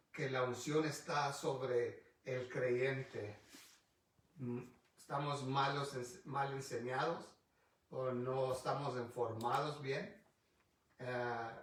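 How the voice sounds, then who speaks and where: Male pastor, English native, halting unhurried Bible teaching, heard from afar in a booming echoey room. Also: a bit bright. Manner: halting, restarts often, searches for words. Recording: distant mic in a very echoey room.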